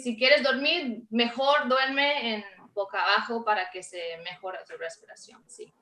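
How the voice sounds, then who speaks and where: A woman from Canada speaks slowly in non-native Spanish, searching for words as a panelist. Her voice is bright, and it comes through an echoey online call. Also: fairly high pitch, steady tone. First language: not Spanish. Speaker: healthcare panelist. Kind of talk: unscripted panel answer.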